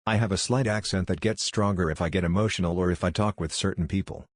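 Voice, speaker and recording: A man narrating forum posts and comments in a steady, even reading voice. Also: low-pitched, fast, no echo, clean studio sound. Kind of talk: scripted narration.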